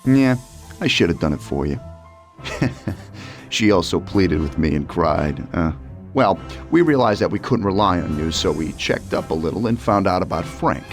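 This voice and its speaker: An actor voicing a bitter, dying male gangster in a video game cutscene who taunts his former friend with a slow, pained delivery. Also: low-pitched, expressive, soft background music. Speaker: game character.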